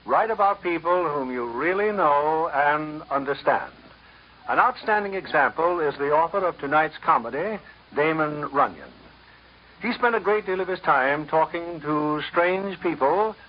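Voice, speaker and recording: Casting: formal male presenter for old-time radio theater, slightly high voice, dulled broadcast sound. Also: lo-fi sound. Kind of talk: formal scripted narration, 1950s radio.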